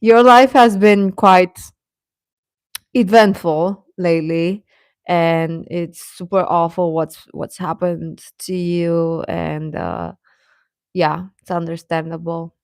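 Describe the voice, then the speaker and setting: A woman hosting a livestream, chatting casually and intimately with viewers in a halting, confiding way. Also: on the low side, steady tone, dry recording, studio quality.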